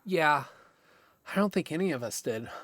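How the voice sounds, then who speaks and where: A man's voice: a little high, animated, normal speed, fairly bright tone, no echo. An actor playing a nervous, sarcastic young man who talks in slang and swears, in naturalistic audio drama. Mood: subdued, wry.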